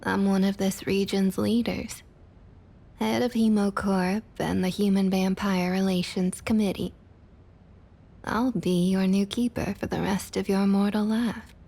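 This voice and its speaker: Woman playing a slow-drawling, husky Southern vampire councilwoman. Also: mid-range voice, little pitch movement, warm tone. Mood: smug, poised. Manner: drawling, falsely sweet, condescending. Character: smug, condescending Southern vampire councilwoman toying with her prey. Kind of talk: ASMR roleplay, intimate scripted monologue.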